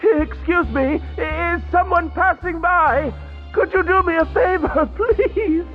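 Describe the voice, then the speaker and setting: A man's voice: very high voice, slow pace, muffled recording, faint music behind. A man voicing a shy, polite young man who hesitantly asks for help finding his hat, in a video game. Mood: pleading.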